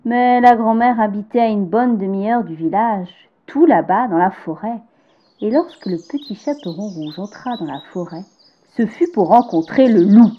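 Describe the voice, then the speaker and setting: A woman reading a children's fairy tale aloud, gentle and expressive, sometimes dropping to a hush. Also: mid-range voice, moderate pace, muffled recording. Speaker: storyteller.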